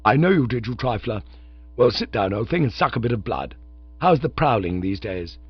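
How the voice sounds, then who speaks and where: A man's voice: medium pitch, lively intonation, moderate pace, husky, dry recording. A man voicing a cheerful young man who talks to his friend in a chummy, teasing way. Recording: dull lo-fi dry recording.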